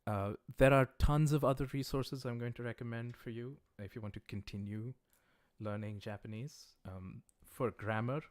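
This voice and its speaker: A man teaching a livestreamed lesson in a relaxed, conversational way, with many "uh" and "um" fillers, encouraging viewers as he recommends study resources. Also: mid-range voice, normal speed, no room sound. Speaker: language teacher.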